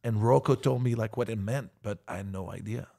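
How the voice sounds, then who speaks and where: Gravelly French-accented man, blunt. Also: on the low side, brisk, warm tone. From France. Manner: blunt, laughs often, frequent fillers. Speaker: podcast guest. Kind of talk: casual interview chat. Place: small podcast studio indoors. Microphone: studio microphone, wearing headphones.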